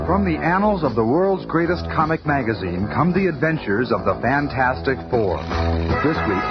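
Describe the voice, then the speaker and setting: Male announcer, grand and swooping, higher register, 1970s radio intro. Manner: grand, emphatic. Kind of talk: bombastic radio show announcing. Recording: dull old radio recording.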